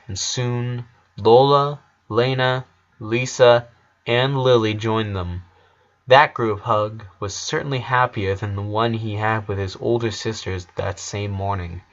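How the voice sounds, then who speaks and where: A man's voice: on the low side, dull audio. A man reading scripted story narration as the narrator.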